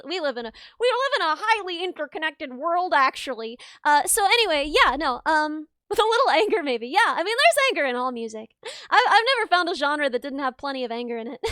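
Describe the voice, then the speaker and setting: High bright chatty young woman streamer. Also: no room sound, studio quality. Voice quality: slightly rough, raw voice. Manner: rambling, chatty, laughs often, reads chat aloud. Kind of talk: casual chat to live viewers.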